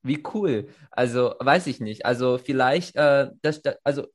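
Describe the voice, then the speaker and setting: A man's voice: mid-range voice, measured pace. A man whose first language is Chinese, speaking German in a casual conversation, hesitant with many fillers and restarts. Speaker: conversation partner.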